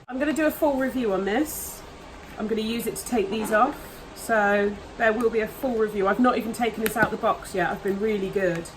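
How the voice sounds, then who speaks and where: A woman talks through an unboxing in a casual, gushing way, sniffling now and then from hay fever. Her voice sits at an ordinary pitch for a woman. A faint hiss of background noise runs under the recording. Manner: chatty, gushing over products. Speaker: nail vlogger. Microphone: camera mic, voice off camera.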